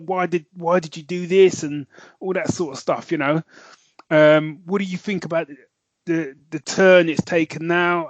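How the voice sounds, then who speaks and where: A man's voice: a little high, no echo, muffled recording. A man co-hosting a podcast in casual chat, rambling and correcting himself, laughing easily. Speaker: podcast co-host and novelist.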